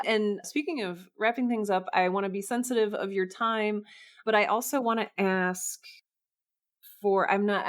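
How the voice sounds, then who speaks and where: A woman hosting a casual interview, speaking in thoughtful, self-correcting phrases. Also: medium pitch. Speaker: podcast host.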